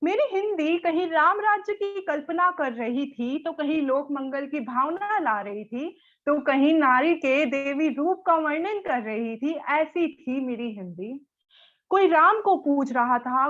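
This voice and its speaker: A woman's voice: sits high, a bit bright, dull audio. A young woman reciting a poem in a declamatory manner, repeating lines for emphasis, over an online call. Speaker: poetry recital participant.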